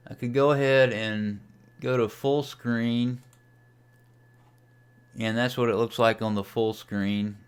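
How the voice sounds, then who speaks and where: A man's voice: medium pitch, fairly even intonation, slow pace, long pauses, clear, resonant tone. A man giving a casual, unscripted screen-share tutorial as a radio hobbyist, thinking aloud as he operates. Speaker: amateur radio hobbyist demonstrating software.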